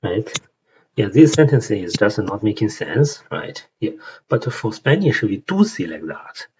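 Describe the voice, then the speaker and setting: Young Chinese-accented man, lowish voice, casual explainer full of fillers; dull lo-fi mic with faint hiss. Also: sing-song, a little slow, a little mellow. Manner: hesitant, many fillers and rhetorical "right?" checks. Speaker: language learner explaining song lyrics.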